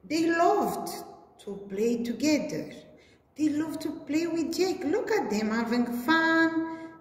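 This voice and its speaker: A woman's voice: high voice, lively intonation, slow pace. A woman preschool teacher reading a story aloud to small children in simple, repeated phrases with exaggerated sing-song emphasis. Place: indoor classroom. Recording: echoey room with long reverb.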